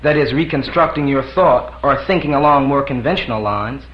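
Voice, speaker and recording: A man's voice: medium pitch, normal speed, slight background noise, lightly acted. A man voicing a defiant, sly freed man who mocks in a drawling rural dialect, in a roomy, dull live recording. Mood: insistent.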